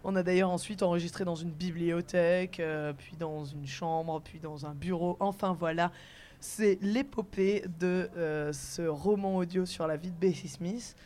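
A woman's voice: on the low side, fairly even intonation, a little slow, warm tone. A woman hosting a live radio interview in front of an audience. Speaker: radio host.

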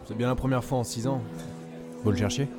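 Actor as a hesitant innkeeper over music. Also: male voice, mid-range voice, steady tone, brisk, lightly acted. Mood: concerned. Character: kindly male village innkeeper, mildly worried. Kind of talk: radio drama dialogue. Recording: loud music behind.

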